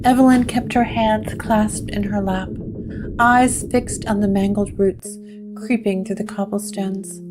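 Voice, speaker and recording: A woman narrating a gothic audio drama in a slow, measured storytelling voice. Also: mid-range voice, fairly even intonation, rough recording. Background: guitar music.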